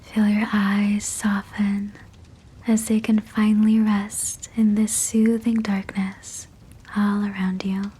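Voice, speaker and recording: A woman guiding a sleep meditation in a breathy whisper, soothing and gentle, with measured phrasing. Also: medium pitch, level tone. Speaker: sleep hypnosis guide.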